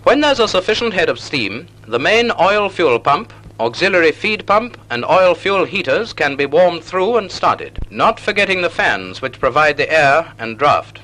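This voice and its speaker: A British man giving scripted narration for a vintage naval instructional film, with clear, measured, didactic phrasing. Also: on the high side, big pitch swings, bright, forceful tone, poor audio. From United Kingdom.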